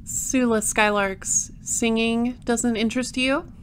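A woman playing a cool space patrol detective in an audio drama, questioning in a clipped, deadpan way. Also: a little high, takes it slowly, a little hiss or hum. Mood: cool, probing. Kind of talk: audio drama dialogue and narration.

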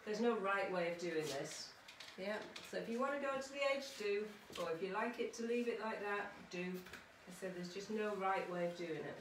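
A woman's voice: mid-range voice, normal speed, few pauses. Middle-aged woman teaching, roomy distant sound. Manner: encouraging, chatty, checking in with listeners using tag questions. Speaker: artist leading a children's art workshop. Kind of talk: casual demonstration tutorial for children. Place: small conservatory-style home studio. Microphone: camera or phone a short distance away. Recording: roomy, distant mic, some background noise.

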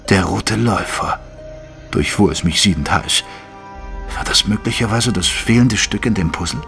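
A man playing an earnest gentleman companion who narrates his adventure in an audio drama, in measured literary storytelling. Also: lowish voice, vocal fry. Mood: tense, astonished. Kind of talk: scripted first-person audio drama narration. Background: music.

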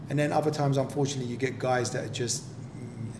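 A man, a property developer, explaining construction inspections in a practical, measured way as his wife interviews him. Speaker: property developer and general contractor. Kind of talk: explaining in a relaxed home interview. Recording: echoey room with steady rumble.

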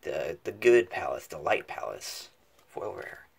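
A man's voice: low-pitched, sing-song, unhurried, gravelly, husky. A man giving casual unboxing commentary in short, muttered, fragmentary remarks. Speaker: card pack opening host. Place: indoors at a table covered with cards.

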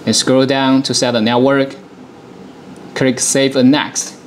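A man in his thirties presenting a software demonstration, explaining things step by step in a dialogue. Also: medium pitch, little pitch movement, slow, a little hiss or hum. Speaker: product presenter.

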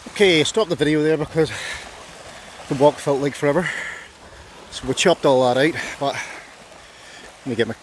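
A man's voice: a little high, normal speed, pauses often, a little hiss or hum. A man vlogging outdoors on a beach, chatty and self-mocking, grumbling a little when plans fail. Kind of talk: casual vlog narration. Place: outdoors on a beach and by roadside caves.

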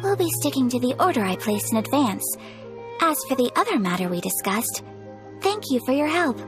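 An actress playing a polite, gentle young noblewoman in a video game, speaking formally and softly. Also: high-pitched, very animated, fairly quick. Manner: polite, formal, soft-spoken. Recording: game audio with faint music.

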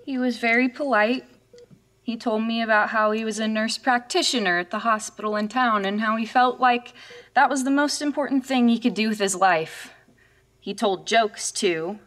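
A woman's voice: on the high side, normal speed, fairly bright tone, natural voice. A woman playing an uneasy, skeptical young woman in a horror audio drama. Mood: wistful, recounting. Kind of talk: dramatic first-person storytelling and dialogue. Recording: music under the voice.

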